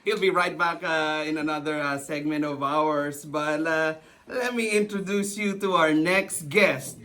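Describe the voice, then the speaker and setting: A man's voice: on the high side, fairly even intonation, a little slow, noisy background. A man informally hosting a puppet show, improvising loosely with a hesitant delivery.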